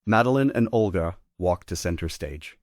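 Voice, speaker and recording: Deep voiced guy reading stage directions at a table read, dry sound. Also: expressive, moderate pace, dry recording. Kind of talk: scripted narration at a table read.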